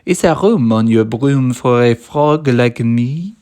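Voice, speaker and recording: A man voicing an eager, polite male frog in a children's storybook, croaky and emphatic. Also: mid-range voice, lively intonation, takes it slowly, dark tone.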